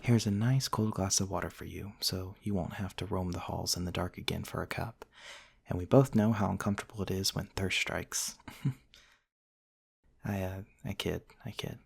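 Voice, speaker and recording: A man voicing a courteous, gentle, old-fashioned vampire in an ASMR roleplay, speaking in formal, polite and slightly hesitant phrases to the listener. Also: deep voice, moderate pace, vocal fry, husky, heavily acted. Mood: gentle, teasing. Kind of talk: ASMR roleplay, soft-mannered dramatic monologue to the listener.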